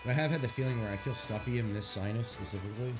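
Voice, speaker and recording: A man's voice: mid-range voice, very fast, over music. A man streaming a game, chatting casually and rambling, stuffy from a sinus headache. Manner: rambling, laid-back, swears casually.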